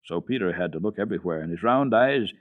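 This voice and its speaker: Low-voiced man reading a children's story. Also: lively intonation, fast, phone-quality sound. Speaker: storyteller, radio program host. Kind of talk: dramatic reading of children's literature.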